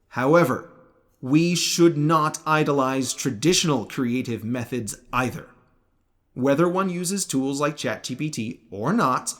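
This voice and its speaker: A man narrating a scripted religious commentary in a formal, measured way with precise enunciation. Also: medium pitch, fairly bright tone. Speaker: video essayist.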